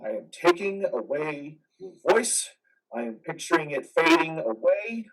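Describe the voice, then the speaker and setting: Man playing a husky, troubled veteran, slightly distant. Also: fairly high pitch, animated, measured pace. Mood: defiant, angry. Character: troubled, defiant male war veteran in psychiatric care. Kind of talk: play reading acting.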